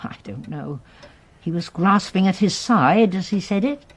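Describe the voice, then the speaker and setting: An older actress with an English accent playing a gentle, observant elderly lady sleuth in a British radio drama, genteel and thoughtful. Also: lowish voice, animated, unhurried, husky. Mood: thoughtful, probing.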